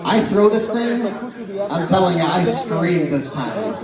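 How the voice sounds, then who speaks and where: A man's voice: high voice, animated, a little slow. A young man telling a rambling, informal comedy story to a live audience, often interrupting himself. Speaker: amateur stand-up storyteller. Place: large echoey indoor space with live audience. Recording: echoey room from far off, audience noise. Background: audience laughter and chatter.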